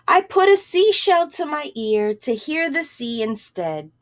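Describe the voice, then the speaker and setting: A woman storyteller reading aloud to children informally, pausing between short phrases and putting questions to her listeners. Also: high voice, very animated.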